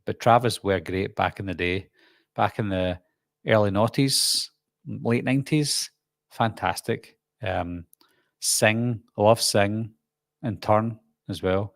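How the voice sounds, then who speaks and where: Gravelly Glasgow man chatting on a stream. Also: lowish voice, takes it slowly, dry recording, studio quality. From Scotland. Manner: rambling, chatty, lots of ums and restarts. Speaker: YouTube host. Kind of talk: live stream chat reading comments.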